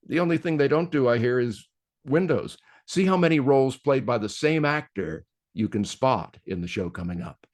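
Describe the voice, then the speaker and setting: A man giving a scripted introduction and charity appeal as presenter of a radio play series. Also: mid-range voice.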